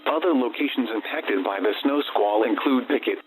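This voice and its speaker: A synthetic man's voice reads automated weather warnings as a radio announcer, with flat, formal, segmented delivery. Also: medium pitch, normal speed. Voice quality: synthetic text-to-speech voice. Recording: noisy, humming weather radio broadcast.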